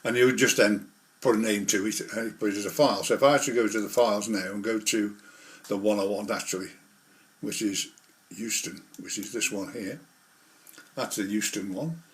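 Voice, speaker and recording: A man's voice: fairly low pitch, steady tone, fairly quick. A man presenting an informal hobby tutorial, explaining things step by step in a rambling, hands-on way. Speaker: model railway hobbyist presenter.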